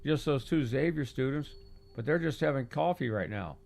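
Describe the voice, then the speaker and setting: A man's voice: mid-range voice, lively intonation, moderate pace, vocal fry, a little mellow. A male narrator voicing a chatty, teasing waitress in an audiobook. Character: young woman waitress, chatty.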